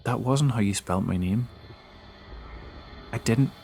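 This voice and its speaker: A man giving a dramatic first-person narration of a scary story, with halting, emotive delivery. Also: mid-range voice, creaky, darkish tone. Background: background music.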